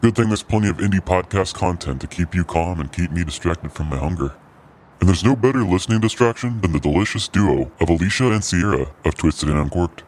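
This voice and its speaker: A man's voice: deep voice, fairly quick, a little mellow, a little hiss or hum, natural voice. A man voicing a hungry, sinister holiday cryptid who hosts a podcast special with wry, theatrical, macabre humor. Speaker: host linking podcast segments. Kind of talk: scripted in-character host narration.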